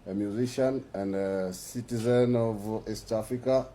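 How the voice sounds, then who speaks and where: A man with a Tanzanian accent reads a prepared statement aloud in a formal way, sometimes halting over words. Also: on the low side, steady tone, mellow, slight background noise. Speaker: musician and activist.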